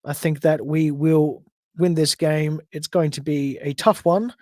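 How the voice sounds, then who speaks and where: Aussie guy hosting a stream, kinda high voice, rambly, dry close sound. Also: fairly even intonation, a little slow, warm tone, no room sound. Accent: Australian. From Australia. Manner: chatty, rambling with self-corrections. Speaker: podcast host. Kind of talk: solo livestream chat.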